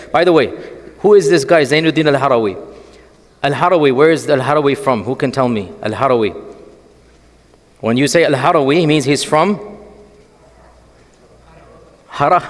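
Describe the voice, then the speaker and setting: A man giving an animated, storytelling religious lecture and often asking the audience rhetorical questions. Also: fairly high pitch, long reverb tail. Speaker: Islamic lecturer.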